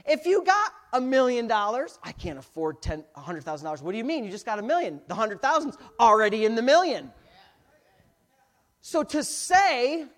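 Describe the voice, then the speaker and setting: A man's voice: very high-pitched, a bit bright. A man in his forties preaching as a pastor, emphatic and exhorting, reading scripture and checking in with rhetorical questions. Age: 40s. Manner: emphatic, exhorting, with repeated call-and-response checks.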